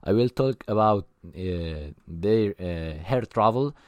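Italian man hosting a podcast in English, low and hesitant, lots of pauses and fillers. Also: animated, a little mellow, dry recording, muffled recording. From Italy. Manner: hesitant, many filler sounds, searching for words. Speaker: podcast host. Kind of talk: casual podcast monologue.

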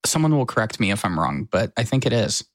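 A man's voice: fairly low pitch, very animated, fast talker, no echo, studio quality. An American man co-hosting a podcast in casual, wry and self-deprecating conversation. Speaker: podcast co-host. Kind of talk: casual chat.

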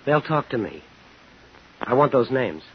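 An actor playing a determined, angry war veteran in a 1950s radio thriller, terse and insistent. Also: male voice, mid-range voice, very animated, a little slow, slight background noise. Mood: angry, demanding. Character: determined, angry young war veteran hunting his brother-in-law's killer. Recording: dull 1950s radio broadcast with music.

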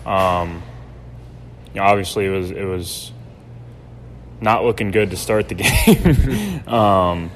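A young man, a college quarterback, answering interview questions in measured, hesitant phrases. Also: low-pitched, lively intonation, slight background noise. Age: young adult.

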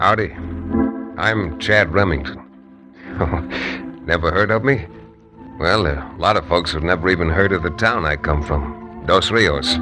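An actor playing a steady, easygoing male frontier rancher and lawyer who narrates a 1950s radio drama. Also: low voice, unhurried, pauses often, music in the background. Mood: storytelling. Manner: easygoing, good-humored. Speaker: lead narrator.

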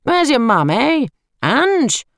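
A woman's voice: high-pitched, big pitch swings, takes it slowly, gravelly, dry recording, clean studio sound, pitched above the performer's own voice, full character voice. A British woman voicing a smarmy, self-important middle-aged uncle in an audiobook, brusque and offhand. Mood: loud, impatient.

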